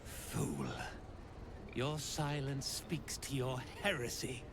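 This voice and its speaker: Cold, haughty cult commander. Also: male voice, mid-range voice, fairly even intonation, takes it slowly, vocal fry, background noise. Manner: haughty, formal, menacing.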